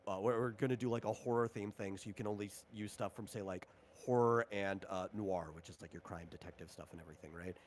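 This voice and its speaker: Man, lowish voice, game designer walking a player through tabletop rules, informal and explanatory. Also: fast talker, hardly any pauses, a little hiss or hum. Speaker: game designer running a tabletop RPG session.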